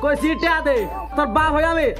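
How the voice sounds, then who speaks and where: A man's voice: very high voice, little pitch movement, fast. A man playing a pushy, scolding chicken seller who insistently demands an unpaid debt on a prank phone call. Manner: insistent, haranguing, repetitive. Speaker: prank caller. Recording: music behind the voice.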